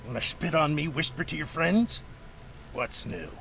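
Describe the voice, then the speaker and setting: A bristling, proud outcast, defensive and bitter. His creaky, fairly high voice swings about in video game dialogue over faint noise. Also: expressive, normal speed, fairly bright tone.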